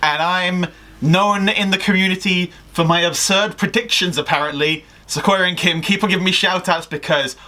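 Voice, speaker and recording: A young man chatting casually and reading stories aloud in an animated, jokey way. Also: high-pitched, normal speed. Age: young adult. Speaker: co-host of a fan fiction video. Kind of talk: casual chat and story read-aloud. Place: small indoor room at home.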